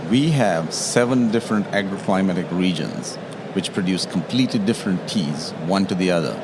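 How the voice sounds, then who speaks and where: Deep-voiced Sri tea taster. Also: male voice, expressive, normal speed. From Sri Lanka. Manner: explanatory, tags statements with "yeah?". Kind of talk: interview at a trade show. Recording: noisy trade show floor.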